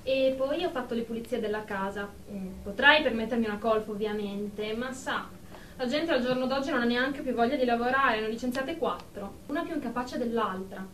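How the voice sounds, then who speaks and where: A woman's voice: on the high side, fairly quick, fairly bright tone, close to an everyday voice. An actress playing a haughty, easily offended professional woman in a radio drama, speaking in a formal, superior, indignant manner. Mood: self-satisfied. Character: haughty, prim, easily offended female office professional in therapy. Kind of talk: Italian radio drama acting. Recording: echoey room, distant mic, background noise.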